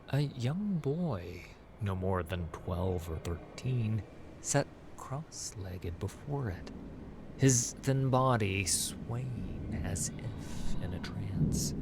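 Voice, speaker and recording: A man narrating a fantasy story as a dramatic audiobook, with measured, ominous storytelling. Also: lowish voice, background noise.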